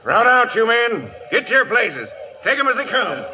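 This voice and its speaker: An actor playing a terse, gruff, commanding cavalry sergeant in a 1950s radio western. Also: male voice, very high-pitched, big pitch swings, normal speed, lo-fi sound. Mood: commanding, urgent. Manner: terse, barking orders. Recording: noisy old radio, slightly distant.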